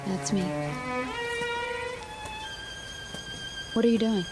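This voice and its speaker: A woman's voice: mid-range voice, flat delivery, quick pace, lightly acted. An actress playing an affectionate, practical young honeymooning wife in a radio drama, coaxing and reasoning with her husband. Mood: affectionate, cheerful. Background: music under the voice.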